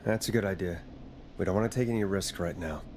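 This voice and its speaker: A man acting a concerned late-night radio DJ in a video game, asking halting, anxious questions. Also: sits low, darkish tone, a little hiss or hum, close to an everyday voice. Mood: cautious, concerned.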